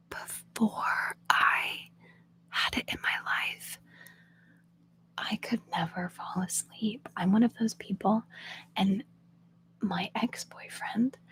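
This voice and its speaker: A young woman whispers the whole time as she hosts a live ASMR stream, recorded on her phone. Her whisper is soft and chatty, at an everyday middle pitch, and she answers viewers' questions with easy laughs. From Oklahoma. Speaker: ASMR channel host.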